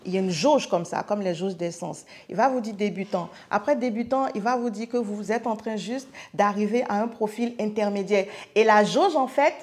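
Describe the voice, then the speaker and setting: A woman from Africa, a guest in a podcast chat, talking in an emphatic, punchy, animated way. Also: mid-range voice, normal speed, few pauses. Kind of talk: casual chat.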